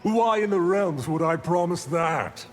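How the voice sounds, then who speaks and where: An actor playing an arrogant, menacing evil sorcerer in a video game, with haughty, deliberate, theatrical speech. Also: male voice, sits high. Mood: mocking. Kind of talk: dramatic video game villain acting. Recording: long hall reverb, music underneath.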